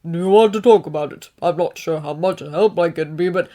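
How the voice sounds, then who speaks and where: A woman voicing a big, gentle grown male hero who comforts his young student in a fatherly way. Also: on the low side, a little fast, close to an everyday voice.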